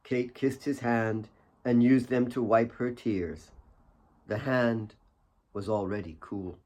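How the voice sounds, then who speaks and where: A man reading his own fiction as an audiobook, with solemn, deliberate dramatic narration. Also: medium pitch, fairly even intonation, darkish tone.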